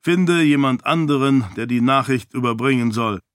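A man's voice: medium pitch, normal speed, no room sound, studio quality, lightly acted. A man voicing a stern male lord with a curt, commanding manner.

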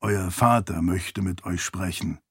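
A loyal servant spirit, acted out by a male narrator, speaking low and subdued. It is formal and deferential, a gentle attendant in a fantasy audiobook. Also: unhurried, slightly rough, no echo, clean studio sound, full character voice.

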